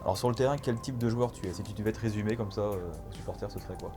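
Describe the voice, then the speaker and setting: A man's voice: fairly low pitch, very fast, over music. A man interviewing a new player for the club, leading with prepared questions and stumbling now and then. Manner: leads with set-up questions, occasional stumbles.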